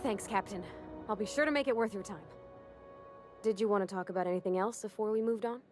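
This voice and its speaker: A woman's voice: medium pitch, fast, darkish tone, faint music behind, close to an everyday voice. A woman playing an eager, folksy young spaceship mechanic in a video game, talking in an earnest, rambling way. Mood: hopeful, friendly. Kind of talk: video game character acting.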